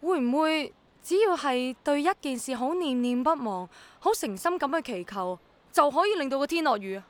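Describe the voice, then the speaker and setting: An actress playing a spirited young woman in a radio drama, with a colloquial, expressive Hong Kong Cantonese delivery. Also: high-pitched, normal speed. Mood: earnest encouraging. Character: spirited young woman, hesitant but willing rain girl.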